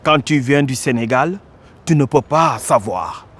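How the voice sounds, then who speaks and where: Slow, declamatory actor. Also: male voice, medium pitch, expressive, background noise. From Senegal, Casamance. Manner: declamatory, poetic, with dramatic pauses and exclamations. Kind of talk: theatrical one-man monologue.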